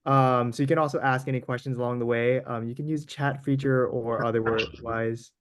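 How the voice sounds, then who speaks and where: Halting, smooth-voiced man from Hawaii. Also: mid-range voice, steady tone, no room sound, clean studio sound. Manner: hesitant, many ums and restarts. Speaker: guest lecturer. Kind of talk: casual spoken lecture over a video call.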